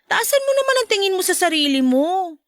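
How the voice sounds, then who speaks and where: A woman's voice: very high voice, big pitch swings, fairly quick, dry recording, clean studio sound, exaggerated voice. A woman playing an emotional, whiny girlfriend and mother in an audio love-story drama, with a Filipino accent and dramatic delivery. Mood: tender, reassuring. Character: emotional girlfriend, a mother, venting about her ex.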